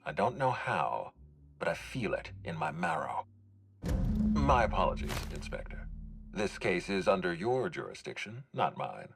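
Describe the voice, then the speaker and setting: A deep-voiced, formal, guarded executive. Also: male voice, sing-song, vocal fry, background noise, heavily acted. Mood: grave, suspicious. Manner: measured, courteous, formal, dryly stern. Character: formal, guarded male executive overseeing hotel and orchards. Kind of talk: dramatic video game dialogue.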